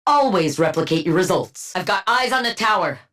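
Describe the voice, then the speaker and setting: A woman's voice: fairly low pitch, expressive, brisk, a bit bright. Casting: brainy armored villainess, video game; woman with sardonic, clipped delivery and learned wit. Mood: brisk, businesslike. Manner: dry, clipped, academic wit. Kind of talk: video game combat barks.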